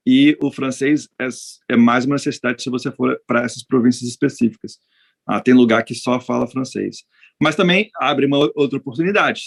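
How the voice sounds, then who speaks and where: A Brazilian veterinarian answering interview questions in a relaxed, hesitant way, with frequent restarts. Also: male voice, medium pitch, creaky. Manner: hesitant, many restarts and fillers. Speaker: veterinarian guest. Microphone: video call.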